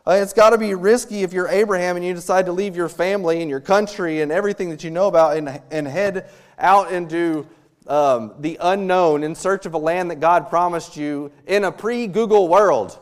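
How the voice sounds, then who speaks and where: A man preaching a sermon as a missionary, animated and acting out little scenes with humor. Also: high-pitched, normal speed. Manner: animated, acts out quotes with humor.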